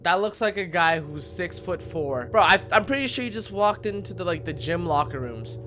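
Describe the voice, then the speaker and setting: Animated young man, high bright voice. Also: moderate pace. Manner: slangy, incredulous, jokey. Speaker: reaction video host. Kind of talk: casual joking reaction commentary.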